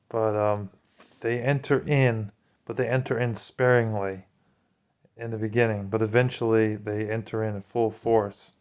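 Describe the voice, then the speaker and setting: A man with a fairly low, clear and smooth voice talks to his viewers in a casual vlog style. His delivery is flat, with little rise or fall. He sounds earnest and admonishing, like someone giving personal religious testimony. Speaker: vlogger.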